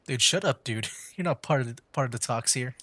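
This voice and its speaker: A man's voice: medium pitch, brisk, pushed and bright, no room sound. A man giving casual livestream commentary as he plays a game. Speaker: streamer. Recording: game music behind the voice.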